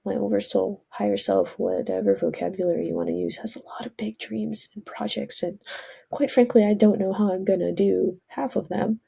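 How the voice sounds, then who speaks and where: A woman's voice: medium pitch, vocal fry, warm tone, breathy edge. A woman, congested from a cold, rambling earnestly in a casual spiritual vlog monologue. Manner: rambling, earnest, self-correcting. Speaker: vlogger.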